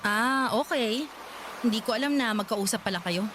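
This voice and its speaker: A woman's voice: a little high, normal speed, background noise, close to an everyday voice. A woman playing an uneasy, suspicious young woman in love in a radio drama, probing hesitantly with a Filipino accent. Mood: curious, suspicious.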